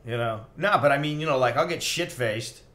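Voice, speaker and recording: A man's voice: mid-range voice, a little fast, fairly bright tone. Blunt, tipsy middle-aged American man. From United States. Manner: blunt, profane, opinionated. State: tipsy after a few drinks. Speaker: expat vlogger. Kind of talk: casual rambling livestream chat.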